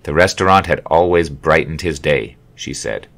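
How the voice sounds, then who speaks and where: Male author-narrator, low-pitched, for a memoir audiobook. Measured delivery with dry, wry humor. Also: expressive, a bit bright. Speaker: author reading his own memoir. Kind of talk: audiobook narration, scripted storytelling.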